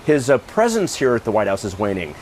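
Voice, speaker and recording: A man's voice: mid-range voice, huge pitch swings, a little fast, a little mellow, a little hiss or hum. An American man reporting live as a television news correspondent.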